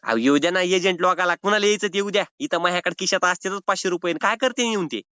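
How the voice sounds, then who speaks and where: A man's voice: high-pitched, fast, pushed and bright, smooth, dry recording. A man playing a cheeky, evasive rural Maharashtrian borrower with a whiny, argumentative way of stalling in a comic phone call. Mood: defiant. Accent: rural Maharashtrian Marathi. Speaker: prank caller playing a loan defaulter. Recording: slightly dull phone-call audio.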